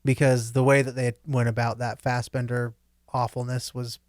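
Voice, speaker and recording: A man talks casually as part of a podcast panel. His voice sits at an average pitch and he sounds informal and enthusiastic. The recording is close and dry, free of room echo. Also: little pitch movement, moderate pace, a little mellow. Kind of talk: casual chat.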